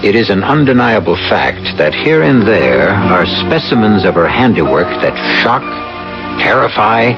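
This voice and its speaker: Low, grandiloquent male radio drama host. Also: big pitch swings, over music. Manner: grandiloquent, deliberate dramatic phrasing. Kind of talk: theatrical scripted narration. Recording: muffled old radio broadcast.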